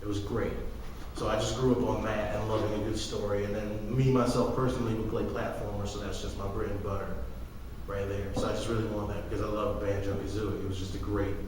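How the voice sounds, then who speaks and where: A man's voice: on the low side, flat delivery, fast talker. A young man co-hosting a casual gaming podcast, ranting emphatically with sarcasm and some swearing. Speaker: podcast co-host. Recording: echoey hall, distant mic, light noise.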